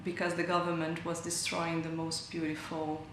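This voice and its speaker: A woman's voice: deep voice, completely flat delivery, normal speed, noisy background. A woman artist from Brazil explaining her work thoughtfully, searching for words and correcting herself. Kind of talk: interview about her artwork. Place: large gallery hall indoors. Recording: echoey gallery hall.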